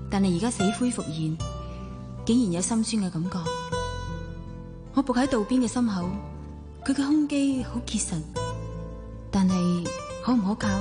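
A woman's voice: mid-range voice, close to an everyday voice. A woman acting a well-off, spoiled woman in love in a Cantonese radio drama, with a Hong Kong accent, teasing and coquettish or reflective as she narrates. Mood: wistful.

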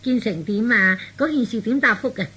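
A man's voice: extremely high pitch, animated, moderate pace, sharp tone, breathy edge, voice pushed up, full character voice. A man voicing a petulant, lovesick young daughter, whining and insistent, in Cantonese storytelling drama. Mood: sulky, impatient.

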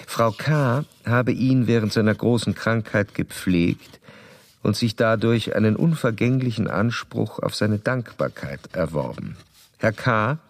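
A man's voice: lowish voice, lively intonation, unhurried, no echo. An actor playing a composed male doctor in a radio drama, speaking in a formal, methodical, clinical way. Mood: clinical, detached. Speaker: doctor and narrator.

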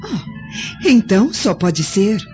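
A woman's voice: fairly high pitch, wildly swooping pitch, slow, full character voice. An actress playing a haughty, conspiring Roman noblewoman in a theatrical radio drama. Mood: shocked. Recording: dull lo-fi audio, loud music behind.

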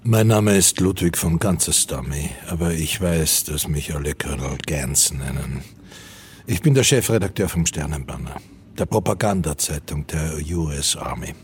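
An actor playing a colonel and newspaper chief, narrating a radio play in a measured, solemn way. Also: male voice, extremely low pitch, animated, slightly rough, exaggerated voice. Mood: grave, proud. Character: aging colonel, army propaganda newspaper editor-in-chief, recalling war.

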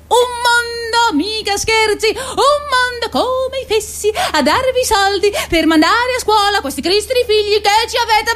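Shrill, haughty welfare clerk. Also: female voice, a bit bright. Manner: bossy, hectoring, repeating phrases. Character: haughty, scornful female welfare office clerk. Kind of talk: theatrical satirical acting.

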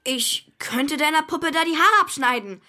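A cheeky young boy hero in a children's radio play. The voice is high and youthful, with a blunt, unfiltered way of talking. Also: moderate pace, pushed and bright. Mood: grumbling, blunt.

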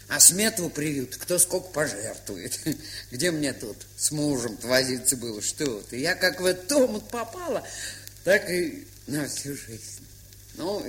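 A woman's voice: low voice, a bit bright, full character voice. An actress playing a bossy, affectionate old provincial aunt in a dramatised story, chatty and folksy in her scolding. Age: older. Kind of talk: dramatised short story, stage-style audio acting. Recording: hissy, crackly vintage 1970s record.

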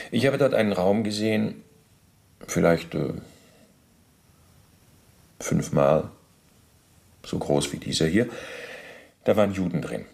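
Gravelly, officious Nazi bureaucrat under interrogation. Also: male voice, low voice, a little mellow, exaggerated voice. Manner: formal, bureaucratic, halting with deliberate pauses. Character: middle-aged male Nazi bureaucrat under interrogation, officious, self-justifying. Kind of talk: German radio play, testimony monologue.

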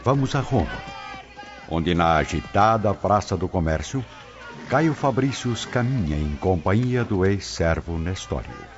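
A man's voice: low-pitched, animated, unhurried. A man delivering scripted narration for a radio drama as its narrator. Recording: dull lo-fi radio drama audio. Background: music.

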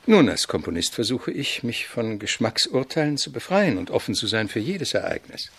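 An actor playing a thoughtful, wry composer who loves mushrooms in a German radio drama, speaking in a gravelly, deliberate, politely teasing way. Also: male voice, mid-range voice, very animated, full character voice. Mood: musing. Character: thoughtful, wry male avant-garde composer and mushroom lover.

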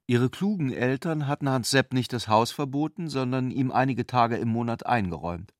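A man's voice: mid-range voice, normal speed, no room sound, clean studio sound. A man narrating a literary radio drama as a scripted storyteller.

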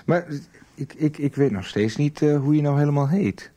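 A man's voice: on the high side, moderate pace, mellow, lightly acted. An actor playing a boastful, flirtatious man in his twenties in a radio soap, stumbling a little over his words. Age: 20s. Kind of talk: 1990s Dutch radio soap dialogue.

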